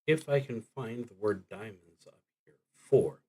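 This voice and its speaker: Male solo RPG streamer, mid-pitched and bright, slow and deliberate, narrating play live with dry asides. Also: husky. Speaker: solo tabletop roleplaying streamer.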